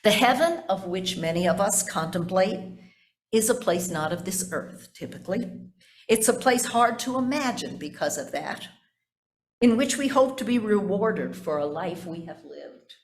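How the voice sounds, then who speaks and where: A woman pastor preaching a sermon in measured, deliberate phrases, with repetition and conversational asides to the congregation. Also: mid-range voice, expressive.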